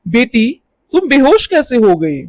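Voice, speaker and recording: A woman voicing a kindly, reassuring adult village man in a storybook tale, speaking gently. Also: fairly high pitch, very animated, measured pace. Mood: gentle concern.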